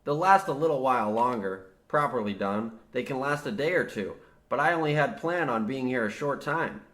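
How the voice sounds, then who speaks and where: A man's voice: medium pitch, lively intonation, normal speed, fairly bright tone, lightly acted. An actor playing an exasperated, practical younger man, serious and matter-of-fact, as the straight man in a comic old-time radio horror play. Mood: dry, impatient. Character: exasperated, practical younger man, war veteran trapped underground.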